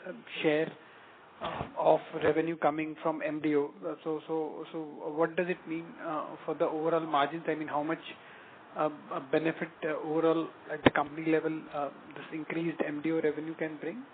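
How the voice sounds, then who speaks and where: A man with an Indian accent asking questions as an analyst, haltingly, repeating words. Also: a little high, monotone, noisy background. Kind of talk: question and answer on a conference call. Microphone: phone. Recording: narrow phone line with background chatter.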